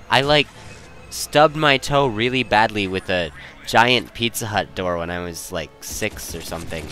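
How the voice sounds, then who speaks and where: A man's voice: low-pitched, bright, forceful tone. A young man telling personal stories in a casual, rambling way, often interrupting himself to second-guess his wording. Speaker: storyteller.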